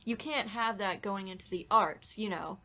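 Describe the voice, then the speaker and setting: A woman's voice: mid-range voice, moderate pace, sharp tone. A woman on a podcast panel, musing conversationally in a casual chat.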